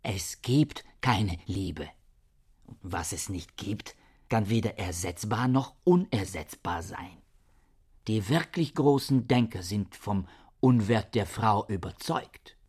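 An actor in a radio play playing a cold, obsessive, misogynist scholar who talks in a pedantic, declamatory way. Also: male voice, fairly low pitch, lively intonation, takes it slowly, darkish tone, slightly rough, heavily acted. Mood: cold, lecturing. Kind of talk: radio drama acting, literary dialogue.

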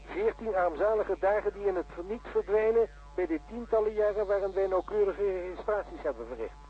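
An actor playing a condescending, evasive professor in a radio play, talking in a pedantic, formal and patronising way. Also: male voice, high voice, fairly quick, dull audio, slight background noise, natural voice. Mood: smug, lecturing. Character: smooth-tongued, condescending, evasive male professor of seismology.

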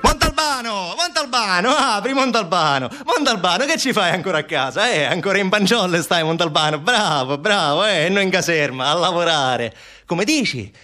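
A man's voice: high-pitched, animated, moderate pace, bright, forceful tone, soft background music, pitched above the performer's own voice. A man playing a brash, cynical policeman colleague who needles and hectors in colloquial, repetitive speech in a one-man stage monologue. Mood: taunting, loud. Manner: hectoring, wheedling, colloquial, repeating himself.

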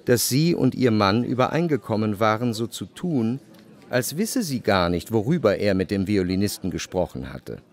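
A man narrating a literary radio play in a measured, faintly ironic manner. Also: on the low side, very animated.

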